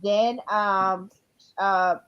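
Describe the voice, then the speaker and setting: A woman in her forties chatting casually on a live stream, rambling and filling pauses with ums. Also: medium pitch, steady tone, clean tone, highs cut off. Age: 40s. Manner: rambling, many ums while listing. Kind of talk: casual chat.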